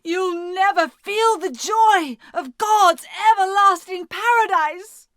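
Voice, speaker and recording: A shrill, zealous believer. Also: female voice, slow, pushed and bright, no echo, studio quality, heavily acted. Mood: condemning. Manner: intense, preaching tone. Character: zealous, fervent religious woman, bitter. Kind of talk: video game cutscene acting.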